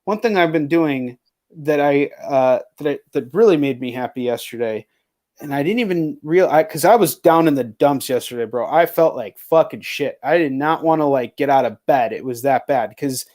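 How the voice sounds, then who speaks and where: A man with a somewhat high voice hosts a loose, informal chat. He talks candidly, wanders through his thoughts and swears freely as he goes. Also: moderate pace, darkish tone. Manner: candid, profane, rambling. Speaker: podcast host. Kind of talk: casual chat.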